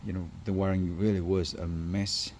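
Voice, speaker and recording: A man with a deep, mellow voice talks through a workshop job for a video. His English is non-native and comes haltingly, in an informal explaining style. Light noise sits behind him. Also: little pitch movement. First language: not English. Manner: halting, hesitant phrasing. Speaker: car installer presenting finished work.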